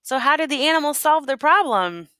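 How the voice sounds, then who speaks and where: A woman reading a children's picture book aloud in an expressive, teacherly way, pausing to prompt listeners with questions. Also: high-pitched, dry recording, clean studio sound. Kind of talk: expressive read-aloud storytelling with asides and questions.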